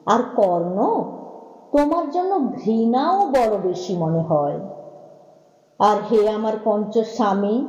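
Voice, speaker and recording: A woman recites poetry in a solemn, theatrical first-person voice, taking her time over every line. Her voice is mellow and sits fairly high. The recording is dull and lo-fi, wrapped in a long, echoing reverb. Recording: echoey reverb, dull lo-fi sound.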